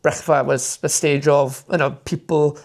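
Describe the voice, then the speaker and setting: A man's voice: on the high side, level tone, a little slow, gravelly. A young Welsh man, a fluent Welsh speaker, chatting as a podcast guest in a rambling, enthusiastic way full of fillers and restarts. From Wales. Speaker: podcast guest, motoring content creator. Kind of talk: casual interview chat.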